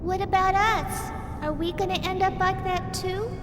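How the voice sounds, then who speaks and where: A woman's voice: very high-pitched, steady tone, normal speed. A woman playing a sweet, innocent little girl in a video game. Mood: worried. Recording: reverberant, with music behind.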